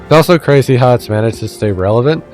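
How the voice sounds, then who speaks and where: A man's voice: mid-range voice, lively intonation, fast talker, darkish tone, soft background music. Rambling, self-deprecating Midwestern gamer man. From Midwestern United States. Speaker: let's play gamer. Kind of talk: casual gameplay commentary.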